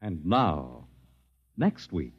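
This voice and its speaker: A man narrating as a 1950s radio announcer in a dramatic, deliberate manner. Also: sits low, very animated. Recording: dull vintage radio recording with music. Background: music.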